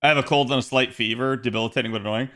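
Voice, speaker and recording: A man live-streaming from home with a bright, forward voice that is roughened by hoarseness. He rambles through loose, chatty talk full of fillers and restarts. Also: medium pitch, fairly even intonation, rapid-fire. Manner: rambling, self-correcting, many fillers. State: hoarse, slightly raspy voice. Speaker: game streamer. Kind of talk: casual live-stream chat, talking to viewers.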